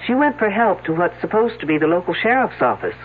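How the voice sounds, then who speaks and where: Sarcastic detective, high voice, tinny radio sound. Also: male voice, big pitch swings, quick pace, slight background noise. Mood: dry. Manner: dry sarcastic wit. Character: dry, sardonic male detective. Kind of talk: 1970s radio drama acting. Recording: tinny old radio broadcast.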